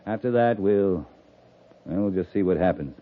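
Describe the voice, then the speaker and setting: An actor playing a stern, shrewd, commanding US Army cavalry captain in 1950s radio western style. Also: male voice, low voice, normal speed, natural voice. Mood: firm command. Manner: commanding, clipped, sternly challenging. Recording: muffled lo-fi 1950s radio recording.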